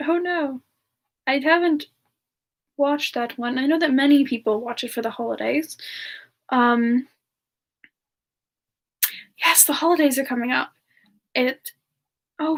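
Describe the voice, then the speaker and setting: A woman doing a semi-ASMR live question-and-answer chat in character, musing aloud in a halting, giggly manner. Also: high-pitched. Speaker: livestream host in character, answering viewer questions.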